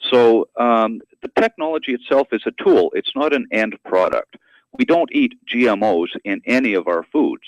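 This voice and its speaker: A man speaking as an expert guest in a radio interview, explaining in a measured, educational manner. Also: medium pitch, animated, dry recording, highs cut off. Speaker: guest expert, university professor and author.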